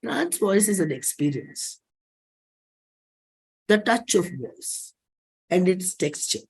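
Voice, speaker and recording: A performer with an Indian accent giving a dramatic reading of a personal play script, deliberate, with pauses and repeated phrases. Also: medium pitch, expressive, darkish tone, slightly rough. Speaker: performer and singer.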